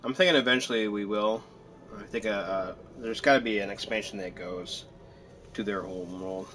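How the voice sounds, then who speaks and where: A man's voice: on the low side, normal speed, fairly bright tone. A man giving casual gameplay commentary, rambling and joking as he thinks aloud. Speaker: gamer commenting on a playthrough.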